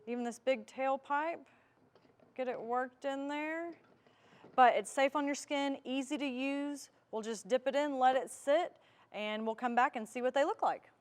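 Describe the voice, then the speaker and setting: A woman with a fairly high voice gives a hands-on product demonstration. She explains each step in plain, instructional language. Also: unhurried.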